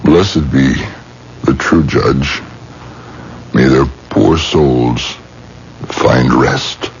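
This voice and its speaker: An actor playing a grave, mournful religious elder in a radio drama, intoning solemnly. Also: male voice, a bass voice, drawn-out words, heavily acted. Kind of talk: 1970s radio drama acting. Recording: muffled, very noisy 1970s radio recording.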